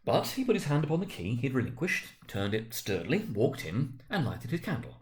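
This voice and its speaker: A man giving a dramatic reading of a classic novel, expressive, swinging between loud emphasis and hushed, slowed passages on ominous words. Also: medium pitch. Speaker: school director reading aloud.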